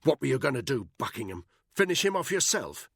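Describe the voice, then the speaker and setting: A man's voice: medium pitch, big pitch swings, a little fast, a bit bright, slightly rough, no echo, studio quality, pitched above the performer's own voice, exaggerated voice. A man voicing a hard, furious soldier who growls with contempt. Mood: menacing.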